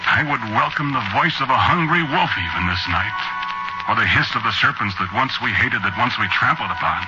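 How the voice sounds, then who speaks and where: An actor playing a mournful, reflective last survivor of a dead world, speaking solemnly and poetically in a 1940s radio drama monologue. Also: male voice, fairly low pitch, natural voice. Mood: desolate, yearning. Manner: solemn, poetic, measured delivery. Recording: noisy, muffled 1940s radio broadcast.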